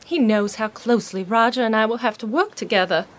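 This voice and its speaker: An actress playing a conflicted but determined tycoon's daughter in an audio drama, earnest and exasperated. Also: female voice, fairly high pitch, a little fast, a little hiss or hum, natural voice. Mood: troubled.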